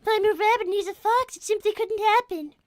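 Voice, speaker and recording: A prissy little rabbit girl, as a woman voices her with a sky-high, piping voice and stiff, proper diction full of old-fashioned exclamations. She sounds close and dry, with almost no room around her. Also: fast talker, no room sound. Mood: prim. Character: prim, prissy young female rabbit girl. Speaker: character voice actor on a live stream. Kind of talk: improvised live character roleplay.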